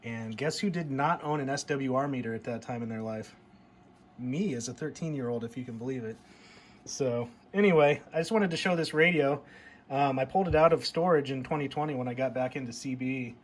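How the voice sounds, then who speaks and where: A man's voice: medium pitch, a little fast. American man rambling casually over background noise. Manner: rambling, nostalgic. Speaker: hobbyist vlogger showing a CB radio. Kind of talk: casual show-and-tell chat.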